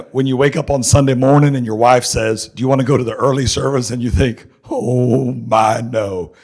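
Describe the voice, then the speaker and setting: Raspy man, middle-aged, hoarse, folksy conference speaker, handheld mic. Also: medium pitch, darkish tone. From North Carolina. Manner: folksy, joking, chatty. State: hoarse with laryngitis. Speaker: seminar speaker. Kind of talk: conference seminar talk. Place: conference hall.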